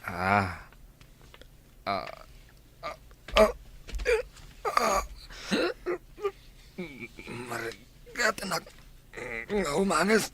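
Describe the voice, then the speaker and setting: A man's voice: a little high, wildly swooping pitch, extremely slow, long pauses, bright, forceful tone, a little hiss or hum, exaggerated voice. An actor playing a troubled, drunken village father in a radio drama, groaning and aggrieved. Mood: pained, groaning.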